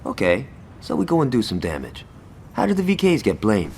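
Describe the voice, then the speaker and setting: An actor playing a reckless, sardonic young gangster in a video game, with a wry, swaggering manner. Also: male voice, medium pitch, huge pitch swings, fairly quick, gravelly, a little mellow, slight background noise. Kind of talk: video game cutscene acting.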